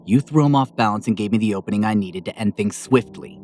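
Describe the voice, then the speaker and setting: An actor playing a stern, restrained fallen angel assassin with a gentle streak, in a measured deadpan, in an audio drama. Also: male voice, on the low side, natural voice. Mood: dry, calm.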